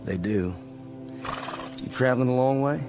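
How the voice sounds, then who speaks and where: An actor playing a war-weary, decent Confederate sergeant in a radio drama, with a Southern American accent and a folksy, hesitant, earnest manner. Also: male voice, mid-range voice, moderate pace, close to an everyday voice. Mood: guarded, uncertain. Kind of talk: dramatic radio play acting. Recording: studio radio drama over faint music.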